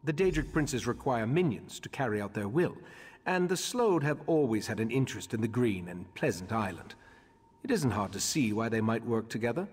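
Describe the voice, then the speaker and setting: A man playing a grave, scholarly leader of a mage order in a video game, speaking in a measured, formal way. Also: mid-range voice, animated, breathy edge. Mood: grave, pondering. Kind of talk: scripted video game character dialogue. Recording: long echoing hall reverb.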